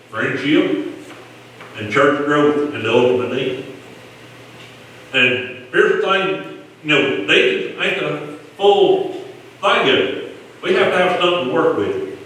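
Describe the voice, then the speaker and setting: A man's voice: medium pitch, fairly bright tone, slight background noise. A man serving as a church deacon, exhorting a Bible class earnestly with rhetorical questions while losing his voice. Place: church meeting room. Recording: echoey church hall, far from mic.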